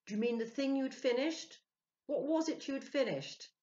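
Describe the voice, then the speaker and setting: Storybook husband, acted by a woman: impatient, emphatic, self-important, wide swings of pitch. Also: lively intonation, normal speed, warm tone. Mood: eager questioning. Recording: dull, lo-fi sound.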